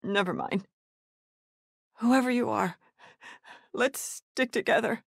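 Frightened mother searching for her son, high voice, slow halting pleas, gasping, horror game, dry studio sound. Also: creaky, husky, no echo, full character voice. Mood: wary, hopeful. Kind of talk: video game survival horror voice lines.

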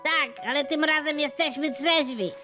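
A woman's voice: moderate pace, sharp tone, faint music behind. A woman voicing a dim-witted, panicky young male kidnapper who talks brashly and crudely. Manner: brash and crude, with swings in pitch.

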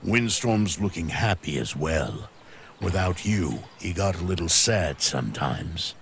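An actor playing a kindly old horseman in a video game, gravelly and encouraging as he mentors a young rider. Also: low voice, sing-song, unhurried, slight background noise, heavily acted. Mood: warm, encouraging.

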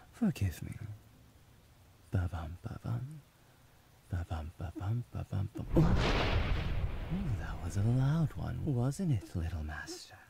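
A man playing a deep, whispering devoted servant. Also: sing-song, takes it slowly, creaky, pauses often, background noise, full character voice. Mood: doting. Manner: soft whispering, affectionate and soothing. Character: doting young male servant, devoted and tender. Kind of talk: ASMR roleplay.

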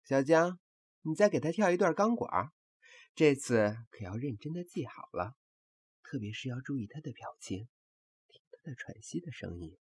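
A woman's voice: very deep voice, very animated, moderate pace, darkish tone, breathy edge, heavily acted. A woman playing a bossy, exasperated male brothel boss in a comic audio drama, commanding and mocking.

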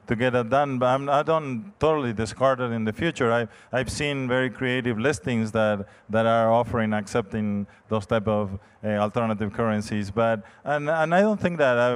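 A middle-aged man answering on a conference panel into a handheld mic, measured and hesitant, with many restarts. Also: mid-range voice, clear, resonant tone. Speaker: real estate developer panelist. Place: large conference stage.

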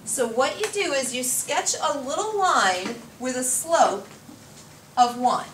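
A woman's voice: high voice, animated, takes it slowly, a bit bright. A woman math teacher lecturing a class at a whiteboard, explaining step by step and quizzing students, recorded from far off in a classroom. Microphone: far camera. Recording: echoey classroom from far off, faint noise.

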